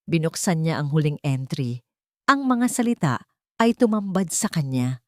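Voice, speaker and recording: Female narrator for audio drama. Lowish register, animated intonation, suspenseful phrasing, dry studio-like sound. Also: moderate pace, no room sound. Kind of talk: dramatic scripted story narration.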